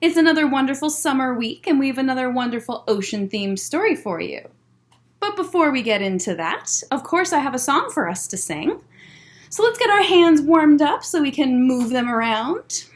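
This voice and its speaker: A woman hosting a story time for young children, talking and reading aloud in an encouraging, animated way with simple, child-directed phrasing. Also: high-pitched, moderate pace.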